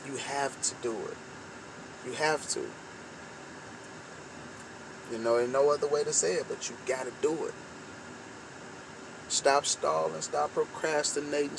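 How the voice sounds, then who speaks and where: American man motivating over noisy car hum. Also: medium pitch. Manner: repetitive, emphatic phrasing. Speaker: vlogger giving motivational talk. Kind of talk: informal motivational monologue to camera. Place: inside a parked car at night. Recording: clearly noisy vehicle ambience.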